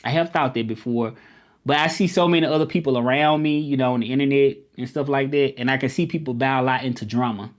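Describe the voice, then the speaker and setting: A man chats loosely to his live viewers, repeating his points in an easygoing, drawling way. His voice sits at an ordinary middle pitch. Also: fast talker. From Memphis, United States. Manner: loose and conversational, drawling, often repeats himself. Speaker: livestream host. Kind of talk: casual live-stream chat with viewers.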